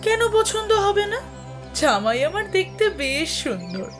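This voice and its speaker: A woman's voice: very high voice, sing-song, unhurried, over music, heavily acted. A woman playing an anxious, doting middle-aged mother in an audio drama, speaking in a pleading way. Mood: calm.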